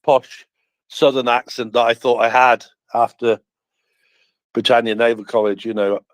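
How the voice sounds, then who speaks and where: A man's voice: medium pitch, little pitch movement, normal speed, dry recording. Chatty man with a Midlands accent. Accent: Midlands English. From English Midlands. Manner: chatty, jokey, many fillers. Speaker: panelist. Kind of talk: casual chat on a live audio space.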